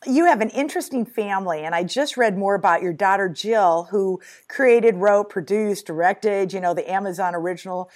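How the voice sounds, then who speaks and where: A woman's voice: mid-range voice, moderate pace, few pauses. A woman in her sixties hosting a podcast interview, enthusiastic as she introduces her guest. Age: 60s. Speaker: podcast host.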